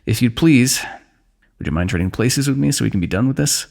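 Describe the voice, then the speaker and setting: A man playing a weary, wry fantasy warrior in an ASMR roleplay, speaking in measured, formal phrases with dry teasing. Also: medium pitch, lively intonation, vocal fry, a little mellow. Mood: calm, wry. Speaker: ASMR voice actor. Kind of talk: ASMR fantasy roleplay, one-sided dialogue.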